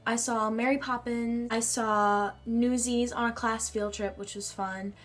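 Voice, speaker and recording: A woman's voice: fairly high pitch, fairly even intonation, a little slow. A teenage girl with a nasal, congested voice from a cold, chatting in a bubbly way in her bedroom. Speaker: vlogger. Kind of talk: casual chat answering a tag.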